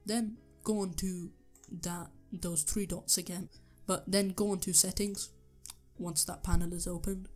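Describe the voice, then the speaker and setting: A young man with a sore, hoarse throat, talking through a computer tutorial step by step, with the odd um. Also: high voice, flat delivery, measured pace, soft background music. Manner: earnest step-by-step instructions with ums. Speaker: tech tutorial youtuber. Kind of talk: screen-recorded tutorial voice-over.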